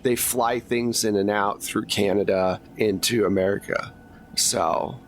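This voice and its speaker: A man's voice: lowish voice, steady tone, a little slow. Man game master with faint background noise. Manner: conversational, descriptive storytelling. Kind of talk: narrating a tabletop roleplaying game, improvised.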